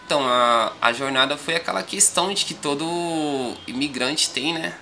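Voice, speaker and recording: A young man with a São Paulo accent, a rapper guest chatting calmly in a podcast interview, rambling with frequent tag questions. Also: on the high side, normal speed, faint music behind. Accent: São Paulo Portuguese. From Brazil.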